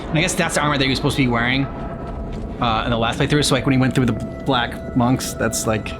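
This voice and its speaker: A man's voice: medium pitch, fairly even intonation, fast. A man giving casual reaction commentary as host of a gaming video, in short offhand remarks. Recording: game music behind the voice.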